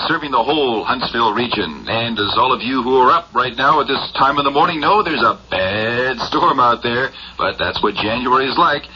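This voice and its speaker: A genial small-town announcer in a radio play. He rambles through the bulletin with very lively intonation. It comes through a dull radio speaker with a murmur of crowd behind. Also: mid-range voice. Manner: jovial, avuncular, rambling. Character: folksy, chatty small-town late-night radio announcer. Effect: heard through a radio set. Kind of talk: local radio weather bulletin, acted. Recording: muffled radio broadcast with crowd-like background.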